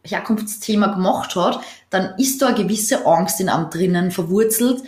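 A woman's voice: medium pitch, normal speed. A woman in a casual conversation, telling her experiences in long, earnest, winding stretches. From Austria. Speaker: podcast co-host. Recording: echoey room.

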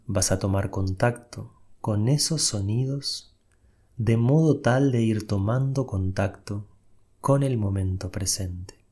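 A man leading a guided meditation, giving soft, unhurried instructions with long pauses. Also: lowish voice.

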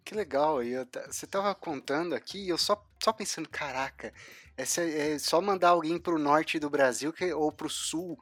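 A woman, chatting in a lively, informal, slangy way. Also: low voice, normal speed, no echo, soft background music. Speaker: conversation partner. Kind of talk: casual conversation.

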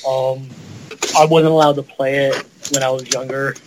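A man hosting a casual podcast chat with a halting, rambling delivery. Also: mid-range voice, little pitch movement. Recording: clear background noise, clicks.

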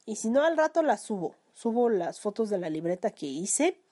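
A woman's voice: medium pitch, normal speed. A young woman with a Mexican accent, ranting casually and crudely on her own podcast and complaining that her throat is sore from talking. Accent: Mexican Spanish. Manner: crude, lively, swearing. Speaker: podcast host.

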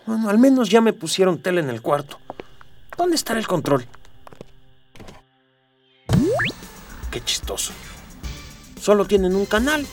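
A man's voice: high voice, recorded from a distance, exaggerated voice. A man with a Mexican accent playing a cheeky, laid-back, slangy young hero in a comedy radio drama. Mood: offhand. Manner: slangy, casual.

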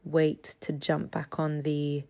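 A woman in her thirties hosting a casual solo podcast, a little raspy from just waking up, chatty with frequent ums and small laughs. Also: sits low, monotone, slow pace, mellow. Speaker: podcast host.

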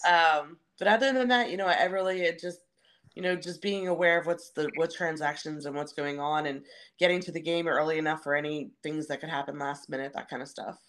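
Low-key explanatory woman in interview. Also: fairly quick, a bit bright. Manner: conversational, explanatory. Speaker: baseball stadium announcer. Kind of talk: casual interview answers.

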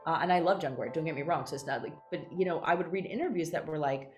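A woman's voice: low voice, quick pace, roomy sound, faint music behind. A woman playwright being interviewed, talking candidly and animatedly and restarting her sentences often. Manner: animated, acts out quoted voices, many restarts. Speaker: playwright guest.

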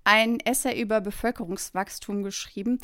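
A woman's voice: medium pitch, steady tone, moderate pace, fairly bright tone, dry recording, studio quality. A woman co-hosting a podcast who is not a native German speaker, chatting casually, laughing often and stumbling over German words. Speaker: podcast co-host.

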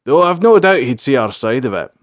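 A man's voice: medium pitch, big pitch swings, fairly quick, dry recording, clean studio sound, pitched above the performer's own voice, lightly acted. Man doing a blunt, weary fantasy army sergeant. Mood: apologetic. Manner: blunt, colloquial, dryly sarcastic. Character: blunt, weary soldier sergeant, sardonic but honest man.

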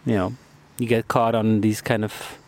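A man interviewing a guest casually outdoors in a park, speaking in a relaxed, conversational way with fillers and restarts. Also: fairly low pitch, moderate pace. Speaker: street interviewer.

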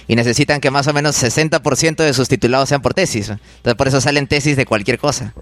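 A man in a casual podcast chat, halting and thinking aloud. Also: medium pitch, fairly bright tone.

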